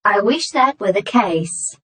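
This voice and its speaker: A woman playing a stern young female courier in a story, speaking in formal, matter-of-fact, measured statements. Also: mid-range voice, expressive, a bit bright. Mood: grave. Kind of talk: scripted story dialogue.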